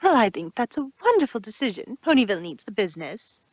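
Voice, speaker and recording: Elegant fashionista mare played by a woman, airy, rambling, theatrical, very animated, dry close sound. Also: a little high, fast, dry recording, muffled recording, pitched above the performer's own voice. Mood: chatty, breezy. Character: elegant, chatty adult female pony fashion designer.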